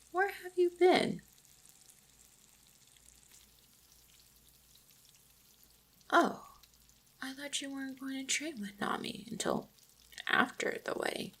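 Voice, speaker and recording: A woman playing a gentle, devoted orc hunter in a roleplay audio drama, speaking tenderly and reassuringly with a husky, creaky voice. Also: mid-range voice, full character voice. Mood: surprised, caring. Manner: tender, reassuring, softly spoken. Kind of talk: roleplay audio drama, intimate monologue to the listener.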